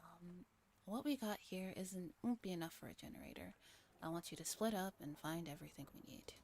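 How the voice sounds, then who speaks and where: A woman's voice: lowish voice, brisk, a little mellow, a little hiss or hum, close to an everyday voice. Lady reading as a chill practical teen boy, explains stuff plainly, visual novel character. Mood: explaining.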